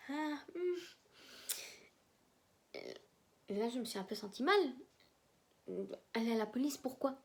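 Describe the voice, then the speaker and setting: A woman's voice: fairly high pitch, lively intonation, slow pace, long pauses. Young woman vlogging. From German-speaking Belgium. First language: German. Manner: rambling, repeats herself, hesitant. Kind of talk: casual storytelling to camera.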